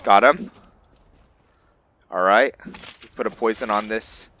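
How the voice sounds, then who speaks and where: A man's voice: fairly low pitch, slow, pushed and bright, slight background noise. A man giving casual gameplay commentary as a let's player, thinking aloud in short bursts.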